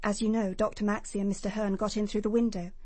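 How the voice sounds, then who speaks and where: Actress doing prim english nurse in a radio whodunit, very precise, sure of herself, dry studio sound. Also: female voice, medium pitch, flat delivery, fast talker, a little mellow, no room sound, natural voice. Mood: composed, defensive. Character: prim, assured young nurse giving police testimony. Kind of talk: British radio crime drama acting.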